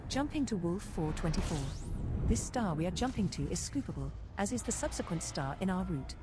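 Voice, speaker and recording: Woman playing a spaceship AI co-pilot, slightly low pitch, formal, precise announcements, game voice, music behind. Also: very animated, brisk. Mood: composed, informative. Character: composed female spaceship computer co-pilot. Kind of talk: scripted status announcements.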